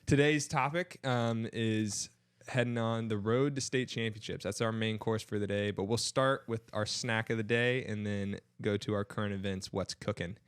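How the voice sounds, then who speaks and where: A young man with a fairly low voice hosts a casual podcast. The sound is dry and close, and his delivery is conversational and informal. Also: fairly even intonation, normal speed, clear, resonant tone, no room sound. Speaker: podcast host.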